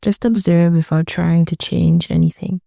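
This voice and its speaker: A woman leading a guided meditation in a gentle, soothing way, speaking slowly and leaving long pauses between phrases. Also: lowish voice, fairly even intonation, vocal fry, dry recording.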